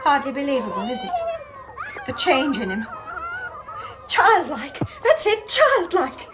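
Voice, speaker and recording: An actress playing an anxious, troubled young mother in a British radio drama, speaking with an English accent in a tense, halting, confiding way. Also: high-pitched, huge pitch swings, heavily acted. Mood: troubled, uneasy. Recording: faint noise on radio broadcast.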